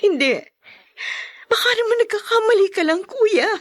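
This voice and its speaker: Clarissa, a hurt young wife. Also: female voice, very high-pitched, lively intonation, normal speed, no room sound. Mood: tearful. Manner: pleading, tearful. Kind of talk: radio drama acting.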